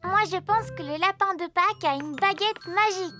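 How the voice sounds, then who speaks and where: A woman's voice: very high-pitched, pushed and bright, slight background noise. A woman voicing a curious, cheerful little girl rabbit in a French cartoon dub, with music underneath. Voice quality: childlike, slow. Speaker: voice actor. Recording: music bed under dialogue.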